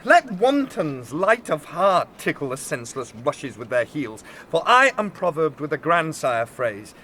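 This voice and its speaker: Mopey young shakespeare lover, high voice, very animated pitch, poetic. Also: male voice, measured pace, a little hiss or hum, heavily acted. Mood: stubborn. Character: melancholy, lovesick young nobleman, brooding and poetic. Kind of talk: Shakespearean audio drama acting.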